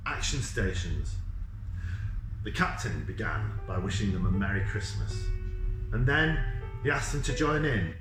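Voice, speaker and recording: A man reads a children's story in measured, dramatic short phrases. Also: mid-range voice. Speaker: author reading from a children's novel. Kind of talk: storybook narration. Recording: echoey room sound.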